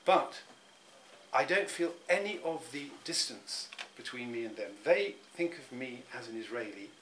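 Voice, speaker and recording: A man's voice: medium pitch, lively intonation, a little slow, a bit bright. Middle-aged man, reflective interviewee, stop-start delivery, faint hum behind. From England. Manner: thoughtful, many restarts and repeated words.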